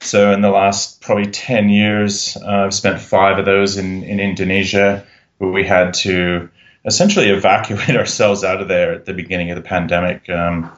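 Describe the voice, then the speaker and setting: Low-voiced Canadian-Australian man, lo-fi. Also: flat delivery, normal speed, echoey room. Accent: Canadian with Australian influence. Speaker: podcast guest, SEO consultant. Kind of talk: casual interview chat.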